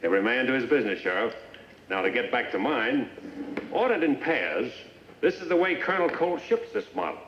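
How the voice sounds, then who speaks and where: A man's voice: medium pitch, sing-song, a little fast, close to an everyday voice. An actor playing a businesslike traveling gun salesman in a radio western, with plain-spoken, assured sales patter. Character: businesslike traveling frontier gun salesman, proud of his wares. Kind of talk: old-time radio western acting. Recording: muffled, echoey old radio recording, faint noise.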